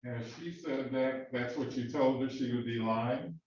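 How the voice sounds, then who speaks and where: A man cross-examining a witness in a courtroom, questioning in a halting way with many fillers and restarts. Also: medium pitch, darkish tone, a little hiss or hum. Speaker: defense attorney questioning a witness. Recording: echoey, distant, dull courtroom audio.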